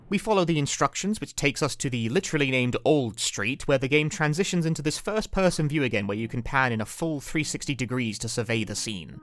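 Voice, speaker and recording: A man narrating a scripted video essay as its host, with wry, dry humor and casual asides. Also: mid-range voice.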